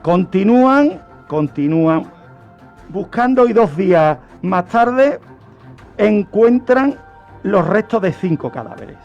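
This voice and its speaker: High-pitched Spanish man telling true crime. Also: big pitch swings, slow, a little mellow, muffled recording, soft background music. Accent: Spanish from Spain. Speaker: radio presenter. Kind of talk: true-crime radio storytelling.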